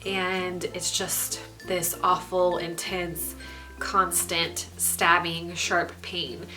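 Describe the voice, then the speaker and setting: Stuffy-nosed young woman, lowish voice, chatty vlog update full of ums, music playing under her. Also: very slow, rough recording. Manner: frequent ums, relaxed and candid. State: congested, stuffy nose. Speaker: pregnancy vlogger.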